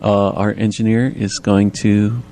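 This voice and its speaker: A man chatting casually as a radio guest who makes audio drama, explaining things in a rambling, halting way. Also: lowish voice, flat delivery, mellow, slight background noise.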